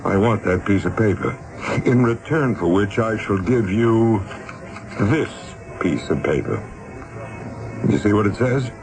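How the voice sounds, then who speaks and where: A man's voice: lowish voice, expressive, unhurried, pauses often, music in the background, rough recording. An actor playing a ruthless, impatient, wealthy businessman in a radio drama, blustering and scornful as he gives orders. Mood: pressing. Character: domineering wealthy businessman, impatient, ruthless, used to buying people. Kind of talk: 1970s radio drama acting.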